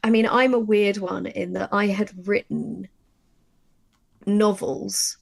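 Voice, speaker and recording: A woman's voice: medium pitch, steady tone, slow pace, creaky. A woman talking informally and emphatically in a writing workshop, explaining with examples as a writer. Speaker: audio drama writer leading a workshop. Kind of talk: conversational workshop discussion.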